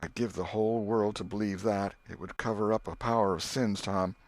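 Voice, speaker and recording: A man's voice: fairly low pitch, fairly even intonation, brisk, no echo, lightly acted. Man playing a fretful, scolding old country aunt. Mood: reproachful, sorrowful. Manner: folksy rural nineteenth-century speech.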